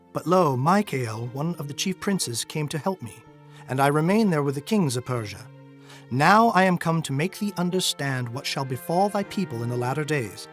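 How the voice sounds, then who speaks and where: A man's voice: on the high side, close to an everyday voice. A man giving a dramatized reading of old King James scripture as narrator, solemn and declamatory. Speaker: Bible narrator. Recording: music under the voice. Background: music.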